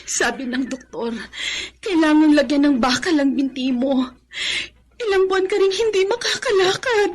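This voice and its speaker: A concerned woman in a radio drama. Her voice is high and full of worry. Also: expressive, moderate pace. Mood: worried. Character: concerned woman breaking bad medical news. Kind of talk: Tagalog radio drama acting.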